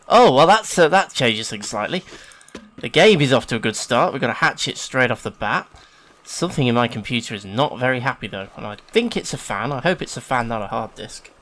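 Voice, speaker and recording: A man's voice: mid-range voice, a bit bright. An English man with a sniffly hay-fever nose gives casual, chatty, self-deprecating let's play commentary as a gaming host. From England.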